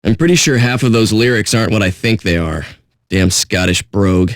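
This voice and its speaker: An actor playing a jaded pirate radio DJ with a sardonic, blunt, profane way of talking. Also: male voice, fairly low pitch, brisk, dry recording, studio quality, natural voice. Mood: dismissive. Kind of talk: irreverent radio DJ patter.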